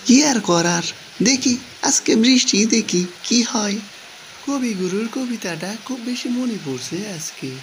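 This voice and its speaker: A man's voice: sits high, lively intonation, measured pace, low-quality recording. A man voicing a homesick working father in a cartoon, musing aloud in a rustic Bangladeshi accent. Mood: resigned, musing. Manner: rustic, colloquial. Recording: background noise, ambience.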